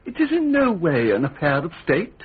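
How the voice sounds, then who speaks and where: An actor playing a suave, persistent foreign envoy in a radio drama, speaking in a careful, courtly, formal way. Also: male voice, fairly high pitch, huge pitch swings, normal speed, husky, heavily acted. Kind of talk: period radio drama acting. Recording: muffled phone-like radio drama.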